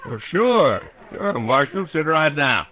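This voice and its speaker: An actor with a rural American accent playing an evasive, whining western suspect, hesitant and protesting in old-time radio style. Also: male voice, a little high, very animated, slow pace, bright, forceful tone, lo-fi sound, full character voice. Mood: uneasily agreeable.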